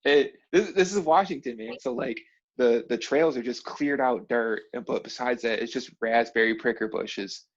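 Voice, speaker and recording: A man chats casually at a medium pitch, spinning anecdotes with plenty of slang and swearing. He laughs easily along the way. The sound is dull and lo-fi, like an online call. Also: normal speed. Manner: slangy, profane, laughs easily while telling stories. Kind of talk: casual chat, animated storytelling.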